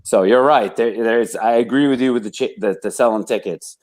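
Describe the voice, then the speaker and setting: A man's voice: medium pitch, brisk, a little mellow. A man chatting casually as a panelist, opinionated and slangy in his way of talking. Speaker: talk show panelist. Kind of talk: casual chat.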